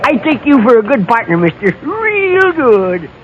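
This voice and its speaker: An actor playing an old, toothless, jolly prospector with a folksy American West accent, rambling and cackling in old radio western style. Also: male voice, very high-pitched, big pitch swings, measured pace, muffled recording, heavily acted. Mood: folksy, teasing. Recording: noisy old radio recording.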